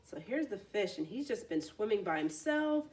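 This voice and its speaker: A woman storyteller reads aloud for children with a very lively, swinging intonation. She mixes narration with simple explanatory asides. Her voice is a little distant from the mic. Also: mid-range voice, moderate pace.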